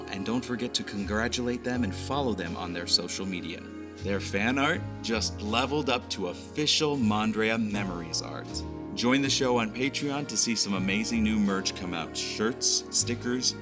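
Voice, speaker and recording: Low-voiced man hosting over guitar music. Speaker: podcast creator and host. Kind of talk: scripted promotional announcement.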